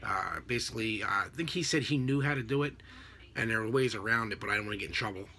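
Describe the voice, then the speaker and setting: Bright-voiced American man, halting and rambling. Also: lowish voice, gravelly. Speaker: vlogger, radio scanner hobbyist. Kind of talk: casual unscripted explanation to camera. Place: small home room with a desk, indoors. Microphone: computer webcam at desk distance.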